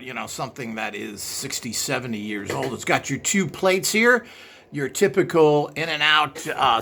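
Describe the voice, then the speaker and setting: A man's voice: mid-range voice, gravelly, pushed and bright, slight background noise. A man presenting an informal show-and-tell in a hesitant, rambling way, recorded indoors behind a handheld camera.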